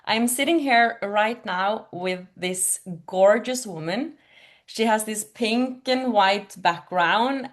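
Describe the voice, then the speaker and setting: Enthusiastic woman hosting a chat show. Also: medium pitch. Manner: warm, enthusiastic, full of praise. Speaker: podcast host. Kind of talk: casual interview on a video call.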